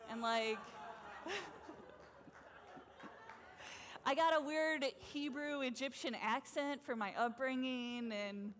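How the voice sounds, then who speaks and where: A woman doing a nervous, insecure male prophet who trips over his own words, stammering and restarting, his voice sitting fairly high. He hedges and pleads with constant fillers. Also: a bit bright. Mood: self-doubting.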